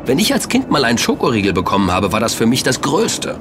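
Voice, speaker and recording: Excitable sceptical guy, acted by a man, lively pitch. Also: quick pace, noisy background. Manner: incredulous, colloquial, protesting. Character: sceptical, excitable male sugar lover named Kim. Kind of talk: scripted audio drama dialogue.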